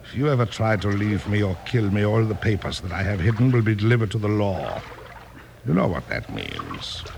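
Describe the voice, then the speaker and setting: An actor playing a cold, scheming villain in an old radio western, speaking with mocking, formal politeness. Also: male voice, fairly low pitch, sing-song, fairly quick, darkish tone. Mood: smug, menacing. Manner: smooth, mocking, overly formal. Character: cold, scheming male villain who orders killings. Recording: noisy old radio drama recording.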